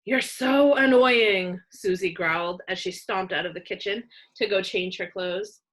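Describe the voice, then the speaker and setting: A woman narrating a children's story aloud in an expressive read-aloud style. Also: fairly low pitch, normal speed.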